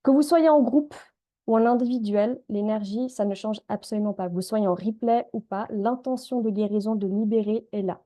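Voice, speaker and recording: A woman, an energy healer and coach leading a calm group healing session, speaking gently with frequent hesitations. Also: medium pitch, moderate pace. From Switzerland. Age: 30s. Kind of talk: live group healing session and guided prayer.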